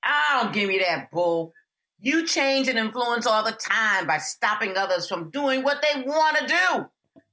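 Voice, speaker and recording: A woman's voice: a little high, expressive, unhurried, sharp tone, exaggerated voice. A woman playing Anger as a personified emotion in an audio drama, fierce, rebellious, brash and taunting.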